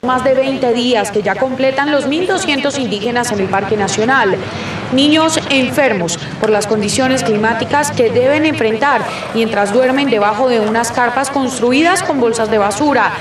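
A woman's voice: fairly high pitch, moderate pace. Echoey newswoman amid crowd noise. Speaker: news reporter. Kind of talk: broadcast news report. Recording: echoey, distant, crowd and traffic behind.

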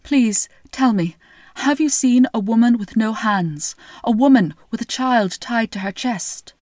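A weary king from a storybook tale, as a woman reading aloud puts him on, pleading with raised, drawn-out words. His voice rises above the narration and slows with feeling. It is dry and close. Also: a little high, darkish tone, no echo, natural voice. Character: weary, tearful grown king searching for his family.

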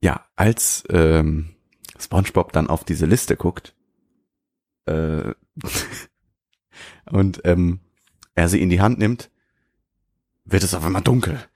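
A man's voice: sits low, takes it slowly, long pauses, a little mellow, no room sound, clean studio sound. A man co-hosting a podcast, chatting and improvising a story, laughing easily. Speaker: podcast co-host. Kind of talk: casual chat and improvised storytelling.

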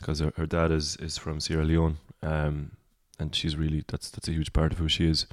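A singer-songwriter from Cork with a Cork Irish accent and a baritone voice, speaking as a guest in a relaxed podcast conversation and thinking aloud as he talks. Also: moderate pace, no room sound, clean studio sound. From Cork, Ireland. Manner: reflective, rambling.

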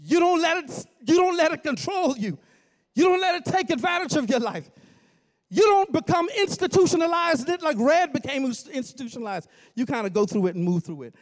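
A man in his forties from the Bahamas, preaching to his church in a storytelling style, joking with the congregation and now and then breaking into shouts. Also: very high voice, fairly quick. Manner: animated, jokes with congregation, bursts into shouts. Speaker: pastor. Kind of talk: storytelling sermon. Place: church sanctuary.

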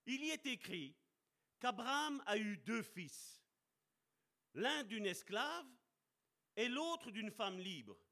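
A man preaching a sermon with passion, slowing down to stress key words and calling out to his brothers and sisters. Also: high voice, sing-song, pushed and bright. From Italian heritage. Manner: impassioned, addresses congregation as brother and sister.

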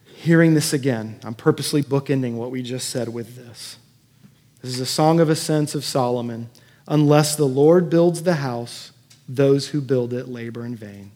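A man's voice: medium pitch, normal speed, warm tone. A man preaching as a pastor in a conversational sermon, earnest and given to emphatic repetition. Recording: echoey hall with long reverb.

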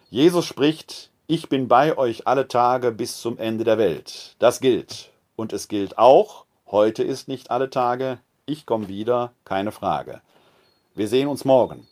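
A man preaching a calm, reflective sermon in a church video podcast, speaking. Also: medium pitch.

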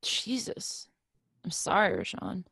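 A woman playing a jaded, despondent young woman in a play reading, blunt, sweary and deadpan. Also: medium pitch, slow, vocal fry, breathy edge, dry recording, studio quality, full character voice. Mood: weary, flat.

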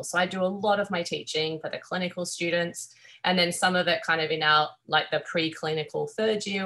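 Bright low-voiced Australian woman. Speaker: guest, veterinary surgeon and professor. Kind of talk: conversational podcast interview.

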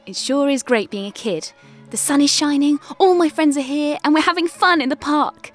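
A woman's voice: high-pitched, normal speed, soft background music, lightly acted. A woman voicing a cheerful seven-year-old child reading a scripted advert in a radio play. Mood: bright, sing-song.

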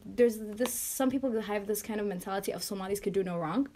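A woman's voice: mid-range voice, brisk, mellow, a little hiss or hum. A woman guest on a casual podcast chat, earnest and thinking aloud with many fillers.

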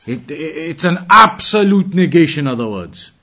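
A man's voice: fairly high pitch, big pitch swings, measured pace, pushed and bright. A man giving a religious lesson in a didactic, emphatic way, repeating key phrases and reciting quotations. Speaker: Islamic teacher.

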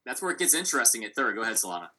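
Bright-voiced man talking powerlifting. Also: mid-range voice, sing-song, racing. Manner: analytical, hedging with fillers. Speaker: podcast host. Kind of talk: casual sports podcast chat.

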